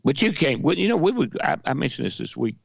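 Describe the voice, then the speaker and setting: A hoarse man with a Southern accent and a gravelly, weakened voice, chatting in a folksy, halting way as a radio co-host. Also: on the high side, very animated, no room sound, dull audio. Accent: Southern American. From Georgia. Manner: folksy, halting with many restarts. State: hoarse, weak voice. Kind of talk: casual radio chat.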